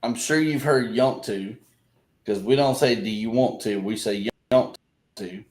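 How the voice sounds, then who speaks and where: A man's voice: medium pitch, unhurried, darkish tone. A man with an Alabama Southern accent interviewing a guest, chatty and playful, acting out local phrases. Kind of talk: casual interview. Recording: roomy echo.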